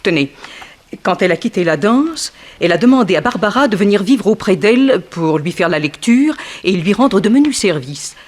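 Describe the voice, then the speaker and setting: Actress as a theatrical, bitter ex-ballerina, swooping voice. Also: female voice, mid-range voice, lively intonation, natural voice. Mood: sardonic, gossiping. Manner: dramatic, insinuating, swinging from slow emphasis to outbursts. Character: bitter, theatrical ex-ballerina, sharp-tongued goddaughter of the victim. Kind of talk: French 1960s radio detective drama acting.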